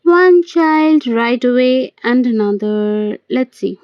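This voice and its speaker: A woman's voice: a little high, lively intonation, slow, smooth, dry recording, muffled recording. A woman reading the part of a spirited, teasing young fiancée in an amateur audio play.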